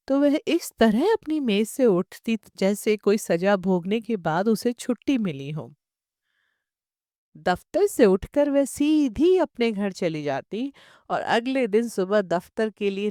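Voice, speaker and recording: A woman reading a literary short story as an audiobook narrator, in measured, expressive storytelling. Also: medium pitch, dry recording, clean studio sound.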